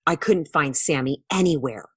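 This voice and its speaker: A woman voicing a young boy earnestly telling a story to friends in a children's storybook, with exaggerated, animated delivery. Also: low voice, normal speed, breathy edge, dry recording, studio quality. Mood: earnest, puzzled.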